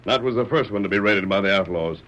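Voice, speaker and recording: A man acting a commanding, calm cowboy hero in an old radio western, speaking firmly and precisely. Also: fairly low pitch, quick pace, close to an everyday voice. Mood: calm, explaining. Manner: firm, precise, authoritative. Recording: dull, hissy old radio broadcast.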